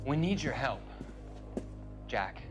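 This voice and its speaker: A man's voice: mid-range voice, big pitch swings, takes it slowly. A man acting an earnest, troubled young medical student and war veteran in a video game drama. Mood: guarded, low. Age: young adult. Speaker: actor in a video game cutscene. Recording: loud music behind the voice. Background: loud music.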